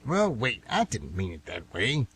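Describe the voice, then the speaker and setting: Casting: male actor as stubborn, folksy retiree of fifty-six, husky and bright, an aggrieved grumbler for radio theatre. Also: on the low side, wildly swooping pitch, a little slow, heavily acted. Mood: grumbling, defensive. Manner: folksy, defensive, exclamatory. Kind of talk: amateur radio theatre acting.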